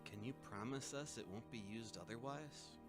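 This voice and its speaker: An actor playing an uneasy, conscientious empath recruit in an audio drama, earnest and questioning. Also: male voice, medium pitch, normal speed, music in the background, close to an everyday voice.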